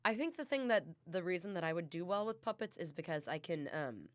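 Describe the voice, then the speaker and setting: A woman's voice: fairly low pitch, very animated, fairly quick, dry recording. Rambling playful woman. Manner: rambling, playful, restarts a lot. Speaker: radio show co-host. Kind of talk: casual podcast banter.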